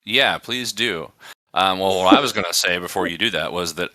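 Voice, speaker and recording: A man's voice: low voice, normal speed, pushed and bright, dry recording. A man hosting a podcast, chatting casually in a rambling, conversational way. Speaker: podcast host. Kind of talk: casual chat.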